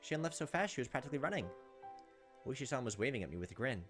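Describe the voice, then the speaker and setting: Casting: male gaming host, quick delivery, story narration with wry asides, faint music behind. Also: medium pitch, sing-song. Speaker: let's play host. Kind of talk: reading game text aloud with casual commentary.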